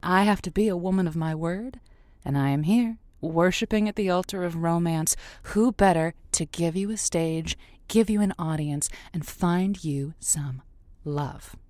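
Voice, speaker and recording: A woman's voice: fairly low pitch, a little slow, a little mellow, dry recording, lightly acted. A woman playing a chatty, flirty radio matchmaking host with a playful, theatrical delivery in an audio drama. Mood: welcoming. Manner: theatrical, playful, wordplay-loving.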